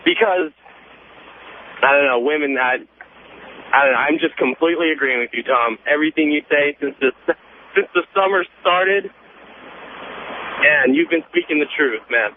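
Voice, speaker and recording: A young American man of nineteen rambles casually as a radio caller, cocky and emphatic. His fairly high voice comes through a thin phone line with light noise. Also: normal speed, long pauses. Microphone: telephone.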